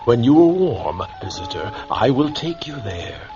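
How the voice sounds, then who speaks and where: An actor playing a solemn, courteous old scholar in a radio drama, speaking in formal, measured phrases. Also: male voice, medium pitch, sing-song. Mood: courteous, firm. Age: older. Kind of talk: vintage-style radio drama acting. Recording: dull lo-fi radio drama recording. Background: music.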